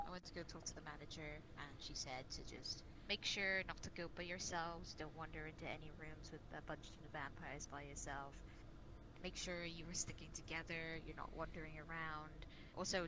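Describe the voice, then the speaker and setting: A woman with a fairly low voice leads an improvised online roleplay. She gives casual instructions, rambling and pausing as she goes, through a noisy voice-chat connection. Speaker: group leader and game organizer. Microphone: online game voice chat.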